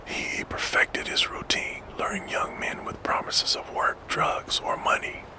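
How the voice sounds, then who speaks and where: A man narrating a scripted true crime story for sleep in an unvoiced ASMR whisper, slow and deliberate.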